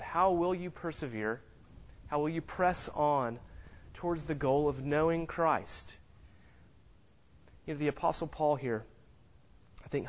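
A man preaching a sermon as a visiting minister in a church, speaking softly with many fillers and pauses, with no microphone. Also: a little high, dull audio. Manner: soft-spoken, halting with frequent fillers. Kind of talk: sermon with scripture reading. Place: church sanctuary. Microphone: no microphone, distant.

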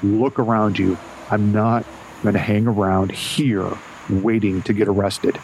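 A man's voice: lowish voice, little pitch movement, unhurried, darkish tone, a little hiss or hum, low-quality recording. An actor playing a shaken young man covered in gore, talking bluntly in a panic. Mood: tense. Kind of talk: audio drama acting.

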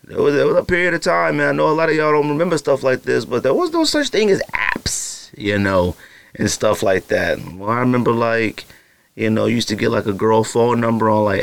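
A man's voice: fairly low pitch, vocal fry. A man in his thirties hosting a solo sneaker podcast from his home studio, talking casually to his listeners with teasing, hyped-up direct address and lots of fillers. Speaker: podcast host.

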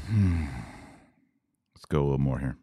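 A man's voice: rumbling low, moderate pace, mellow, breathy edge. A middle-aged American man hosting a podcast, giving emphatic, argumentative commentary with rhetorical questions. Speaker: podcast host. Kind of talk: opinion commentary on played clips.

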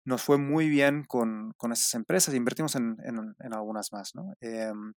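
A man in his forties from Spain whose Spanish accent has picked up some Mexican tones, answering as a founder guest in a relaxed interview with many fillers and restarts. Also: medium pitch, normal speed, dry recording, studio quality. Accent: Spanish with some Mexican influence.

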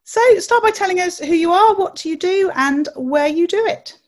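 A woman's voice: very high voice, moderate pace. A woman hosting her own podcast, reading her show intro and then interviewing a guest in an upbeat, encouraging, chatty way. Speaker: podcast host. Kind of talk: scripted intro and casual interview.

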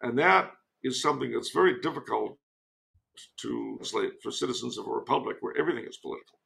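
Male commentator from the US, creaky voice, measured and wry, conversational podcast guest. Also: mid-range voice, lively intonation, a bit bright. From United States. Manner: wry, measured, deliberate phrasing.

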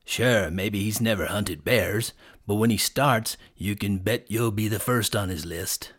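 A man's voice: on the low side, a little slow, a bit bright, voice pushed down. A man voicing a wary, sceptical stag in a storybook tale, dry and doubting.

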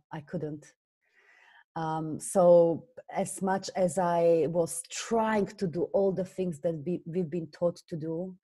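A woman with a Slovak accent, a guest business coach talking earnestly in an interview, often pausing on fillers. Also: lowish voice, mellow. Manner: earnest, hesitant with many fillers. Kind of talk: conversational interview.

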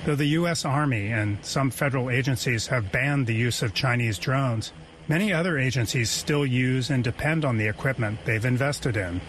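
A male reporter narrates a news story in a measured way, at mid pitch. A faint noise sits in the background. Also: fairly bright tone.

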